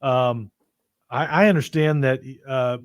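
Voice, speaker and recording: A man's voice: medium pitch, fairly even intonation, a little slow, smooth, no echo. Casual male interviewer, dry sound.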